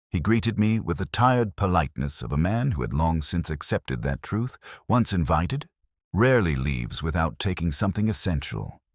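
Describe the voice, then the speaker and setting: A man narrating a scripted first-person detective mystery in a measured, formal, literary manner. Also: sits low, no echo, studio quality.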